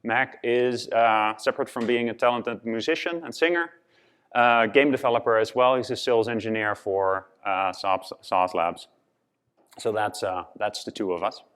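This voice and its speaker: A man's voice: on the low side, fairly even intonation, a bit bright, smooth. Dutch-accented man giving a conference talk. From Netherlands. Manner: halting, many fillers and restarts. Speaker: conference speaker. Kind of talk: conference presentation.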